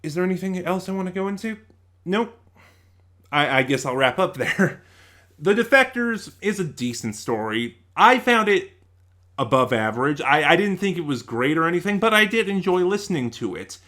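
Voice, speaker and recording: A man's voice: high voice, big pitch swings, normal speed, pushed and bright. A man giving a casual, unscripted review, thinking aloud and correcting himself as he rambles along. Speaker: YouTube audio drama reviewer.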